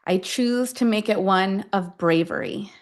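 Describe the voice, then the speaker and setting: Woman, mid-range pitch, guided affirmation meditation, deliberate short phrases, long pauses. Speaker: guide of a self-affirmation tapping session.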